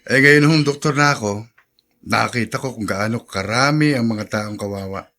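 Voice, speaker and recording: A man's voice: medium pitch, moderate pace, pushed and bright. A Filipino man acting a dutiful young doctor in a radio drama, respectful and sincere. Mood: earnest, reassuring. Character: earnest, dutiful young male doctor and grandson.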